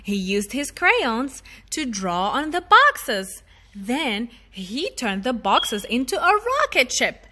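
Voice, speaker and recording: A woman reading a children's storybook aloud in an expressive style as the storytime reader. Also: fairly high pitch, slow pace.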